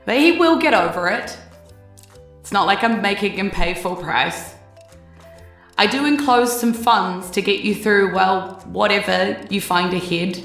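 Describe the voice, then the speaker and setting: A woman with a New Zealand accent narrating a tabletop game as game master in a relaxed, rambling way with plenty of fillers. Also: mid-range voice, measured pace, creaky, echoey room, soft background music.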